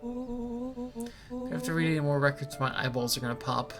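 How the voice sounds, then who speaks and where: Lady doing a whiny combative game kid, sarcastic, really animated pitch, music behind. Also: normal speed. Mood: exasperated, sarcastic. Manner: exaggerated complaining, combative. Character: grumpy, sarcastic young kid in a story game. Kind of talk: dramatic reading of a video game.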